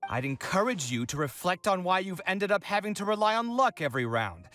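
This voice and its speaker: Game role: exasperated, self-pitying young architect, theatrical and wordy, swinging pitch, music underneath. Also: male voice, sing-song, fairly quick, a bit bright. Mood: indignant mockery. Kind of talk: video game voice acting. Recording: game audio with music behind.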